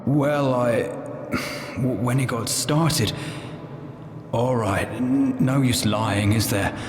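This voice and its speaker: An actor playing a sheepish street robber with a halting, colloquial way of speaking in theatrical game dialogue. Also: male voice, medium pitch. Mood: resigned, uneasy. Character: sheepish street robber confessing his crimes. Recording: echoey hall, noisy ambience.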